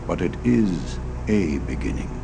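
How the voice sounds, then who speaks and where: Deep gravelly fantasy narrator. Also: male voice, sing-song, unhurried, noisy background, heavily acted. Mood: ominous. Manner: solemn, portentous. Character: solemn male storyteller of an epic fantasy legend. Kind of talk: dramatic scripted fantasy narration. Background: loud music.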